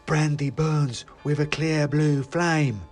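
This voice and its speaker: Small storybook mouse elf voiced by a male reader: eager, mischievous, pitched up high. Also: fairly even intonation, slow, a little mellow, faint music behind. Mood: solemn glee. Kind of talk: storybook character voice.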